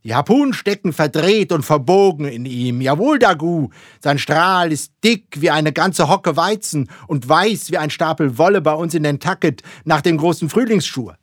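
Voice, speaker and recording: A man voicing a fanatical, commanding whaling captain in a loud, declamatory storybook reading. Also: on the high side, very animated, takes it slowly, no room sound, clean studio sound, voice pushed up. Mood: wild excitement. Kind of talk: dramatic audiobook character reading.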